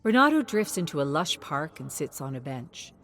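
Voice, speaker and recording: A woman reading a scripted dramatic story in an expressive, measured way, in short phrases. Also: deep voice, slight background noise. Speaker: narrator.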